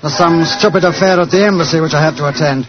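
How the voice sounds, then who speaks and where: Breezy, fast-talking English royal, played by a man. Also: fairly high pitch, close to an everyday voice. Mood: breezy, dismissive. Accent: British. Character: breezy, carefree English royal travelling incognito. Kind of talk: 1940s radio acting. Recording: muffled old radio recording, music behind.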